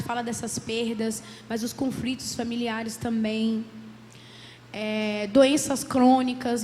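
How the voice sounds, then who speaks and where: A hoarse woman with a cold, a psychologist giving an earnest church talk and often asking "né?". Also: fairly high pitch, a little mellow. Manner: earnest, many "né?" tags. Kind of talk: church lecture. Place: church hall. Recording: roomy hall with faint background noise.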